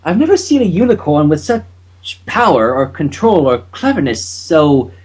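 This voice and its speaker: Man as a flustered, smitten, husky unicorn showgirl. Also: medium pitch, sing-song, normal speed, a little mellow. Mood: smitten, sheepish. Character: flustered, smitten showy young female unicorn magician.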